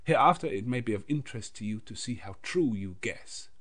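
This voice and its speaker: A man's voice: medium pitch, expressive, a little fast, fairly bright tone, voice pushed up. Man voicing an emphatic old Dutch professor. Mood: grave, instructive. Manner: emphatic, oddly phrased foreign English. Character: grave, learned old Dutch professor, kindly and commanding.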